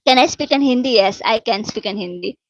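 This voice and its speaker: A woman in her twenties from Suriname, whose first language is Dutch, chatting casually with viewers, unhurried and with many fillers and restarts. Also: fairly high pitch, no room sound, muffled recording. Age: 20s. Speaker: livestream host answering viewer questions. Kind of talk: casual chat.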